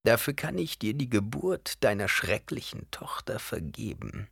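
Guy doing an old witchy priestess lady, whispery and breathy, hisses slow, super dry close sound. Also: fairly low pitch, big pitch swings, no room sound, pitched above the performer's own voice, heavily acted. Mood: reproachful. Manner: pleading and hissing, drawn out slowly. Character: shrill hissing ancient woman, imperious high priestess. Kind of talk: audiobook character voice.

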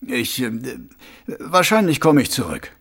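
A middle-aged watchman, acted by a male audiobook reader, worn out and dazed but inwardly on guard. His speech is halting and muttered, with a husky, gravelly edge and lively swings in pitch. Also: full character voice. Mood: uneasy. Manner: halting, muttering, absent-minded.

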